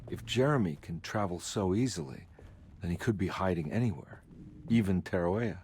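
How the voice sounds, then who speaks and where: An actor playing a weary, stubborn private detective in a noir video game, talking in a dry, casual way. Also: male voice, sits low, expressive, normal speed, a little mellow, slight background noise. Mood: musing.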